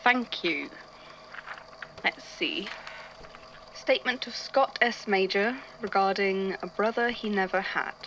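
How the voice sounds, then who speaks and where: A woman playing a testy male archivist who reads eerie witness statements aloud in an audio drama, in a measured storytelling delivery. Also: medium pitch, fairly bright tone, lo-fi sound, slight background noise. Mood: businesslike.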